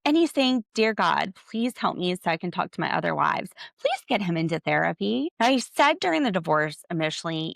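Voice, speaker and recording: An American woman hosting a podcast, giving casual, opinionated commentary in an animated, sarcastic, slangy way. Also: on the low side, vocal fry, dry recording, clean studio sound. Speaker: podcast host.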